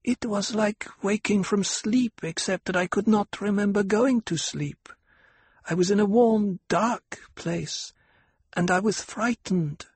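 A man's voice: high voice, slow, slightly rough, no room sound, pitched above the performer's own voice, full character voice. Man doing a half-lisping little girl, solemn. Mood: hushed, wondering. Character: young girl with an old soul, solemn.

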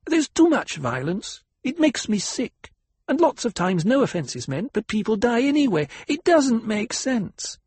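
A gushing young woman, as a man puts her on, girlish and playing at empty-headed innocence. The voice is lifted very high above his own and has a husky edge. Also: big pitch swings, unhurried, a little mellow, no room sound, full character voice.

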